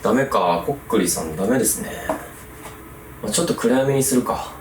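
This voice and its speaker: A man's voice: mid-range voice, expressive, fairly quick, slight background noise. A man talking casually as host of a ghost-hunting video. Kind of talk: casual chat.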